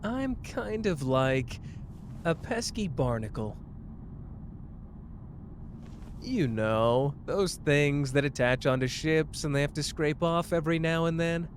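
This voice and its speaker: Roleplay ASMR: male actor as young sorcerer partner, playful, protective, casual. Unhurried, lively intonation. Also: a little high, slight background noise. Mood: playful teasing. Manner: teasing, casual, tender. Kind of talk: roleplay ASMR acting, addressed to the listener.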